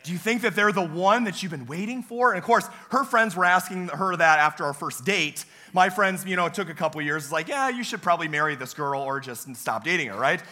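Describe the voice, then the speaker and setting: A young man preaching from a church pulpit, his voice hoarse and weaker than usual. He tells folksy, self-deprecating stories with plenty of filler sounds, in a bright, forceful tone pitched a little above typical for a man. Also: expressive, fairly quick, hardly any pauses. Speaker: campus pastor. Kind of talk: sermon. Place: church sanctuary.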